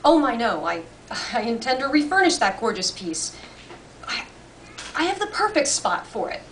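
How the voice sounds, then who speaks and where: Lively, shrewd woman antique dealer, acted by a woman. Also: fairly high pitch, moderate pace. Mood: guarded, casual. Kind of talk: audiobook character reading. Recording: distant mic, faint room noise.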